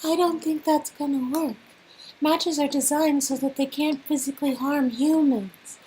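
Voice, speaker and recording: A woman's voice: high voice, fairly even intonation, a little slow, a little mellow. A woman performing game dialogue in an amateur acted reading, with some noise behind her. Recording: noisy, ambience behind.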